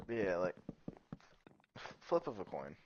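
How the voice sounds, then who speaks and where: Growly low-voiced man, muffled headset. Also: big pitch swings, slow, noisy background. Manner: rambling, profane, laughs often. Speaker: streamer's friend. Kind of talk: casual chat on a game stream. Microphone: gaming headset.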